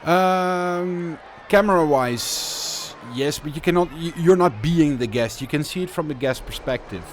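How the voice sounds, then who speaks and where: A man's voice: fairly high pitch, measured pace, faint music behind. Dutch-accented man streaming a building game. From Netherlands. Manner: relaxed, chatty, answers chat questions while building. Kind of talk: casual live-stream gameplay commentary.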